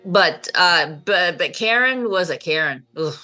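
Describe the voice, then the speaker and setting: Female panelist, very bright voice with animated pitch, informal and opinionated. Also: unhurried, faint music behind. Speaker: panelist in a TV show discussion. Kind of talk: casual chat.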